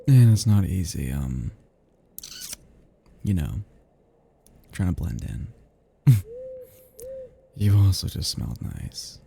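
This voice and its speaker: A man playing an ancient, flirtatious, possessive vampire in an intimate ASMR roleplay, speaking to the listener softly and huskily in a halting, teasing, casually menacing way. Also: low voice, sing-song, slight background noise, exaggerated voice. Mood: infatuated, creepy. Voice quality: soft, husky, gravelly.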